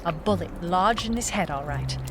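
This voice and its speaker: A woman's voice: fairly low pitch, lively intonation, normal speed, background noise, lightly acted. A woman playing a wry, defiant noir private eye in an audio drama, in narration and dialogue. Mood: brooding narration. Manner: hardboiled, wry. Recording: music behind the voice.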